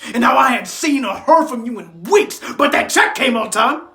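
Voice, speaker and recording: A man's voice: high-pitched, big pitch swings, normal speed, roomy sound. A man performing a dramatic stage monologue as an angry, hurt student addressing his absent father, with emotional delivery. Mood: rising anger. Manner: emotional, building from quiet hurt to shouted rage.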